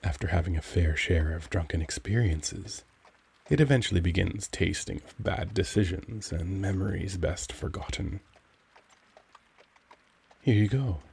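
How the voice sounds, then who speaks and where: A man playing a young, charming, cultured vampire gentleman in an ASMR audio drama, speaking in a gentle, caring, genteel and formal manner. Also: sits low, creaky, breathy edge, exaggerated voice. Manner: genteel, formal, soft-spoken, courtly phrasing.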